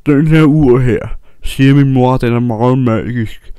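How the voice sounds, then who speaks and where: A man's voice: mid-range voice, warm tone, clear, resonant tone, no echo, studio quality, natural voice. A man voicing a gullible, easygoing character in an amateur comedic anime dub, casual and naive. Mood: puzzled, naive.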